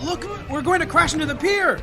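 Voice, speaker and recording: An anxious, pragmatic priest played by a man speaks urgently in a fantasy radio play. Music and a clamouring crowd sit clearly behind the voice. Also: very high voice, brisk. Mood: alarmed. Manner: urgent, earnest. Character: anxious but pragmatic male priest companion. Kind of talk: audio drama acting.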